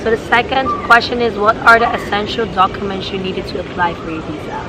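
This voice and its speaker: A young woman from the Netherlands and Thailand hosts a casual interview and reads out her questions in a mid-range voice. City traffic rumbles clearly behind her outdoors. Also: fairly quick. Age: young adult. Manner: asks scripted questions, relaxed follow-ups. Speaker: host.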